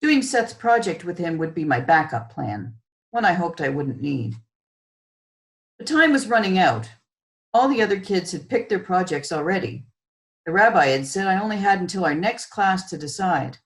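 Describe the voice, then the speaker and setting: Expressive woman reading a children's story. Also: on the low side, normal speed. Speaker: children's book author reading aloud.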